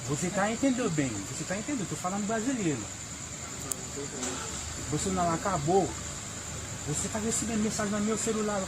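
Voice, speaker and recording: A man's voice: high voice, expressive, a little fast, long pauses. A man insistently repeating himself as he confronts someone in an outdoor crowd. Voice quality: insistent, repetitive. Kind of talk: agitated public outburst. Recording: crowded outdoor scene with clear noise.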